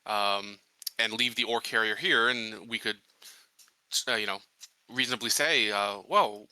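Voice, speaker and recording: A man playing a tabletop roleplaying game, laying out plans casually in a deliberate, halting way. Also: fairly low pitch, sharp tone, smooth, no echo, poor audio. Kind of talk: casual in-character planning talk.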